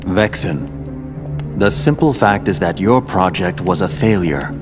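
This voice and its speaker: Smug calm villain boss, low voice, formal n menacing, scary music under it. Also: male voice, a little slow. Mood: commanding. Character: cold, commanding adult male villain lord, composed. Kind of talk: video game cutscene acting.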